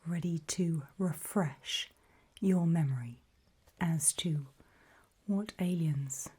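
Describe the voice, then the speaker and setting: A calm, faintly sinister female official acted by a woman speaks in a very breathy whisper, slowly and softly. Her tone is low and mellow, measured and politely ominous, as in an ASMR roleplay briefing. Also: heavily acted. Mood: calm, brisk.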